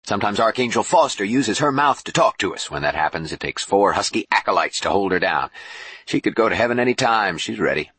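A man voicing a brash, jovial bishop in an audiobook, a folksy, booming hard-sell showman. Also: animated, fairly quick, fairly bright tone, slightly rough, no room sound. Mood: hearty, preachy. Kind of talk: audiobook character voice.

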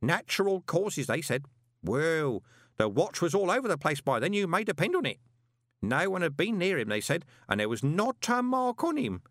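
A man's voice: on the high side, very animated, normal speed, dry recording, clean studio sound, pitched above the performer's own voice. A man voicing a chatty, deferential elderly postal worker with a rambling, conspiratorial way of talking. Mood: gossipy. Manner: gossipy, rambling, ingratiating.

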